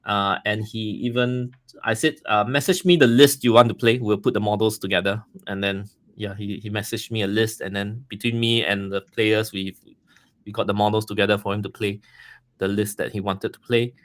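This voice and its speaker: A man from Singapore with a Singaporean accent talks as a guest on a casual podcast. His voice is low, and he is friendly, laughs easily and repeats words while he searches for the next thought. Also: steady tone, normal speed, a bit bright.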